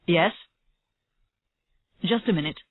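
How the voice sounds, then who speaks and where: A woman reading a storybook, playing a calm, curt male police chief in an understated, measured way. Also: fairly low pitch. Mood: calm, approving. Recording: roomy, slightly dull lo-fi audio.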